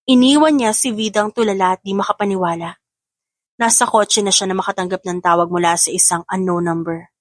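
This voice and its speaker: A woman's voice: mid-range voice, fairly quick, no room sound, clean studio sound. A woman giving scripted narration of a romance story in Tagalog.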